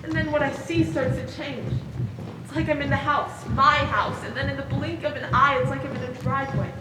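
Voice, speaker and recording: A woman acting a troubled, bewildered figure with a failing memory in a stage monologue, measured and reflective. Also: high-pitched, fairly even intonation, lightly acted. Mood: dazed. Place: stage in a large hall, distant mic. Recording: echoey hall from far off, noisy.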